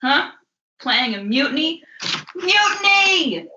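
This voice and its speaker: Woman playing a melodramatic drunken pirate, swooping pitch. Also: very animated, slow pace, bright, forceful tone, reverberant, highs cut off, a little hiss or hum, exaggerated voice. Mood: suspicious, mutinous. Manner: melodramatic, rambling. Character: drunken, bitter, rambling pirate shipmate, suspicious and mutinous.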